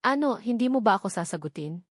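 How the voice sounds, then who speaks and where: A woman's voice: fairly high pitch, expressive, fast talker, no echo, clean studio sound. A Filipino-accented woman voicing a possessive, scheming jilted fiancée in a romantic audio drama. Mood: angry, demanding.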